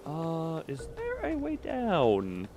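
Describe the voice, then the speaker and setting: A man's voice: on the high side, huge pitch swings, very slow, a little mellow, a little hiss or hum. A man giving casual gameplay commentary to a live chat, rambling and thinking aloud as he plays. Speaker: video game streamer.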